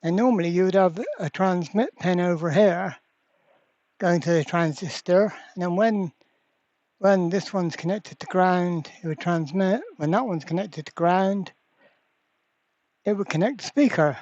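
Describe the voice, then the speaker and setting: A repair channel host giving a casual hands-on demonstration at a workbench, halting and thinking aloud while working. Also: medium pitch, darkish tone, no room sound, dull audio. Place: indoor workbench.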